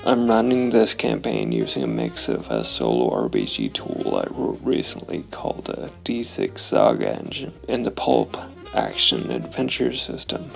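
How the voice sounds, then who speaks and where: A man's voice: very deep voice, huge pitch swings, moderate pace, vocal fry, faint music behind. A man hosting a solo roleplaying show, delivering a scripted introduction and recap to his audience.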